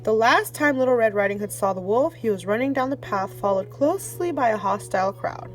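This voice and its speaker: A woman's voice: on the high side, fairly quick, soft background music. A woman reading a children's fairy tale aloud as a storyteller, then talking directly to the kids. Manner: expressive read-aloud, warm sign-off to kids.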